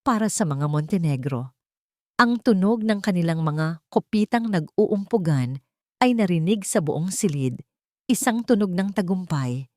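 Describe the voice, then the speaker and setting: Woman, low-pitched, dry studio sound, dramatic scripted storytelling, audio drama narrator. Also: a little mellow, dry recording.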